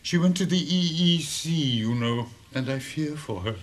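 A man's voice: medium pitch, animated, moderate pace, natural voice. A man in a radio drama playing a mild, apologetic, unworldly headmaster with a meek, dithering manner. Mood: vague, fond.

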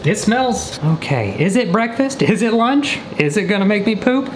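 Deadpan young man, widely swinging pitch. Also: normal speed. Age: 20s. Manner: deadpan, joking asides. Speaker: vlogger. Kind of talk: comedic cooking vlog chat. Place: indoors, small apartment kitchen. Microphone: camera mic at a short distance. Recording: roomy kitchen echo, background noise.

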